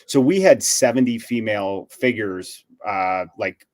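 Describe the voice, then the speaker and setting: A man in his forties with a fairly low voice, chatting casually as a co-host. He rambles, circling back with fillers and restarts. The sound is dry and close, with no room echo. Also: a little slow. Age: 40s. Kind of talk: casual chat.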